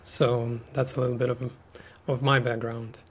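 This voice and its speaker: A man's voice: mid-range voice, little pitch movement, normal speed, breathy edge. A man, talking as a podcast guest in a thoughtful, hesitant, casual way. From Ukraine. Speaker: podcast guest, artist and language teacher. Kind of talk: casual reflective conversation.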